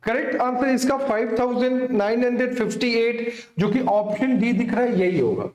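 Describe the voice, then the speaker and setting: A very high-voiced man works through problems like a coaching teacher. He speaks straight to his students and keeps adding little check questions. The sound is clearly echoey, as in a bare room. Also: moderate pace, a little mellow. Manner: repetitive tag questions, addressing students directly. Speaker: maths teacher. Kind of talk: exam coaching lesson, explaining worked problems.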